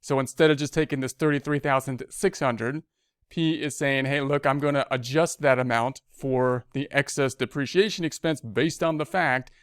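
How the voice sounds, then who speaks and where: A man with a smooth, clear, mid-pitched voice leads a tutorial in a very dry recording. He talks conversationally, thinking aloud step by step. He often restates a point in slightly different words as he explains it. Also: steady tone, moderate pace, no echo. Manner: conversational, repeats and rephrases as he explains. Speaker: accounting instructor. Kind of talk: screencast tutorial, thinking aloud through a worksheet.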